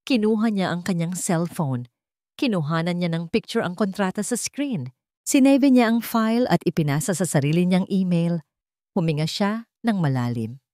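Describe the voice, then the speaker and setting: A woman's voice: lowish voice, expressive, normal speed, mellow, no room sound, studio quality. A woman with a Filipino accent reading a scripted dramatic story as an audiobook narrator.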